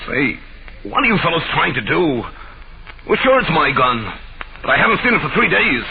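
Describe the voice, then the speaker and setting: A man's voice: fairly high pitch, big pitch swings, moderate pace, gravelly. An actor playing an anxious, defensive man under suspicion in an old-time radio drama. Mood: agitated, protesting. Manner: agitated, protesting. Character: anxious male office suspect, defensive and frightened. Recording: noisy, muffled old radio recording.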